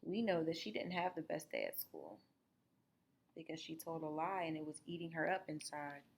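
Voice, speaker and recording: A woman reading a children's story aloud as a teacher, expressively, pausing to explain it to young listeners. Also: deep voice, creaky, a little hiss or hum. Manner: animated, explanatory, guiding listeners through the story.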